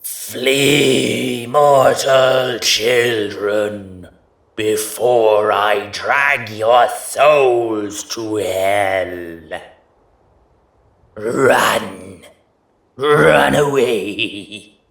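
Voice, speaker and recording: A doom-laden ghost out to terrify anyone at the door. Words are stretched slowly with theatrical menace. It plays as a spooky Halloween audio drama haunting. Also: male voice, fairly low pitch, vocal fry, a bit bright, echoey room, full character voice. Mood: menacing, booming. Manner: slow, drawn-out, theatrical menace.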